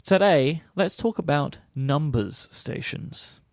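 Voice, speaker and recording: A man's voice: on the high side, lively intonation, slow pace, vocal fry, no echo, clean studio sound. A man co-hosting a podcast, switching between scripted explanation and teasing banter. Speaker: podcast co-host.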